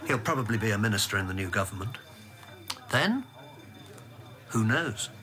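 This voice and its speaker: An actor playing a reserved, careful English civil servant who also narrates, in a radio drama. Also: male voice, lowish voice, animated, moderate pace, bright, forceful tone. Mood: terse. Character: guarded, principled British civil servant and narrator.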